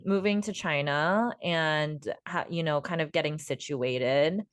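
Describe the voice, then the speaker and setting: A woman's voice: sits low, unhurried, no echo, studio quality. A woman hosting a podcast interview, asking questions in a casual, encouraging way with frequent short affirmations. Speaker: podcast host.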